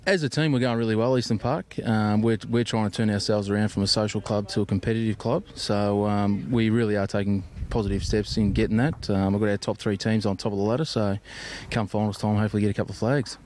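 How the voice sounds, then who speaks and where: A man's voice: fairly low pitch, fairly even intonation, fast, a little mellow, a little hiss or hum. A young Australian man, a sports player, giving casual answers in an interview.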